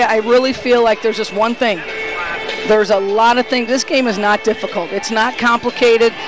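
A woman's voice: fairly high pitch, rough recording. A middle-aged woman basketball coach giving a postgame interview on a headset mic in an empty gym, talking emphatically and earnestly with frequent restarts. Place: empty college gym after a game.

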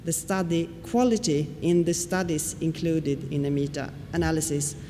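A woman's voice: sits low, steady tone, moderate pace, mellow. Woman lecturing in an echoey hall. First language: Swedish. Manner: explanatory, with frequent fillers and questions to the audience. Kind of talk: conference lecture. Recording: reverberant hall with faint noise.

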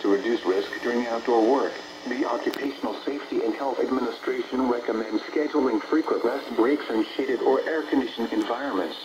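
An American man's synthetic, measured announcer voice reading an automated weather forecast, played over a radio. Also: mid-range voice. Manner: flat, synthetic, measured delivery. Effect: radio broadcast. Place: played from a portable radio indoors. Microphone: camera or phone near the radio. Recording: noisy radio speaker picked up in room.

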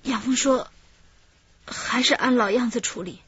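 High-voiced, frightened female accomplice. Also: measured pace, a bit bright, breathy edge, dry recording, full character voice. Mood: subdued, confessing. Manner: tearful, pleading, shrill when upset. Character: frightened young female accomplice, pleading, desperate informant. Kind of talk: radio drama acting. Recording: slightly dull radio drama recording.

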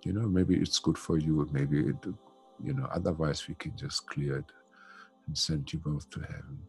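A man's voice: extremely low pitch, fairly even intonation, moderate pace, vocal fry, faint music behind. An older man guiding a spiritual session over a video call on a headset, talking loosely with many fillers. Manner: chatty, warm-toned, many "you know" fillers. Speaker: spiritual healer. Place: home room.

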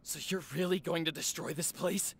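An actor playing an earnest young male smith in a game cutscene, talking intensely and defiantly with a gravelly edge, over music. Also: a little high, fairly even intonation, normal speed, fairly bright tone. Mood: angry. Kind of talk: video game voice acting. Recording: game audio with music underneath.

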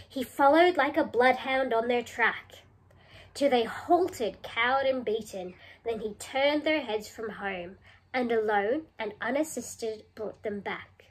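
A woman in her thirties speaking as a teacher, reading and explaining clearly for children in a small indoor room. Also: on the high side. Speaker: primary school teacher. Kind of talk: read-aloud poetry lesson for children.

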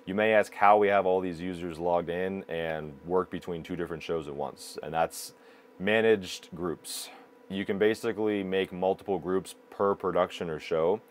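A man in his thirties giving a matter-of-fact, explanatory product review to camera. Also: sits low. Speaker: video production company presenter. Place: small indoor broadcast control room.

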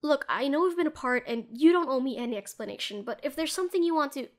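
A woman's voice: high voice, lively intonation, fast. A woman playing a protective, tender doctor who looks after an injured ex-lover in an intimate audio roleplay, earnest in manner. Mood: concerned. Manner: earnest, soothing, hushed at times, fierce when protective. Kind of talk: scripted intimate audio roleplay addressed to the listener.

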